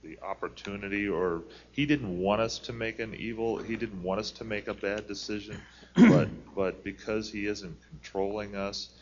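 Male student, low voice, halting, muffled room with faint hiss. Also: fairly even intonation, darkish tone. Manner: halting, searching for words. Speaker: student in class. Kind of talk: classroom discussion.

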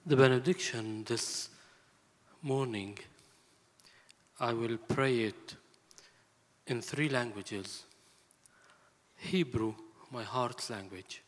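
A male missionary whose first language is Arabic, praying a benediction slowly and solemnly at a medium pitch. His voice rings out with a long reverb in a large hall. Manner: slow, solemn, measured. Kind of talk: slow prayerful benediction.

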